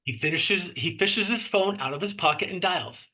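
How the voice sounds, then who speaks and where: A man's voice: high voice, moderate pace, sharp tone. A man reading out scene descriptions as the narrator of a screenplay table read. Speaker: narrator reading stage directions.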